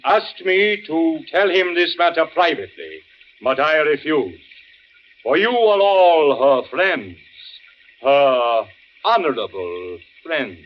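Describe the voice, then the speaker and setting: An actor playing a theatrical, grieving old stage actor in 1940s radio style, declamatory, with dramatic pauses. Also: male voice, a little high. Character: theatrical grieving old actor father, half-mad, accusing. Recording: muffled, noisy old radio recording.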